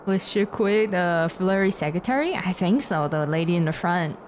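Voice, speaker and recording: A woman's voice: fairly low pitch, animated, normal speed, slight background noise. A woman game streamer giving casual live commentary, musing aloud as she plays. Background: game music.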